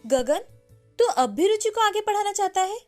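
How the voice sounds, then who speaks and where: Woman putting on a nagging, high-pitched old grandmother. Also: sing-song, moderate pace, soft background music. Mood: scolding, insistent. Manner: scolding, insistent. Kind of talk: Hindi moral cartoon story dialogue.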